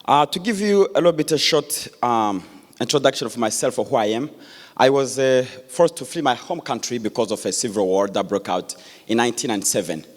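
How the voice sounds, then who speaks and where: A man, giving an earnest public speech with many pauses and restarts. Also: mid-range voice. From Democratic Republic of Congo. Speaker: guest speaker. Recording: echoey hall.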